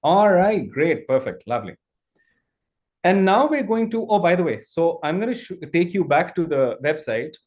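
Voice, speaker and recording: Man, urdu first language, slightly high voice, video call, energetic trainer, restarts sentences. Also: moderate pace. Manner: energetic, repeats and restarts phrases. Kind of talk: live online training demo.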